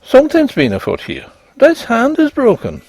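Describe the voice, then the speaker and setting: Casting: male reader as brisk, no-nonsense young male doctor for a gothic tale, emphatic, very lively pitch, slightly rough voice. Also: no echo, exaggerated voice. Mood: startled. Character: blunt, rational young male doctor, amused and scolding.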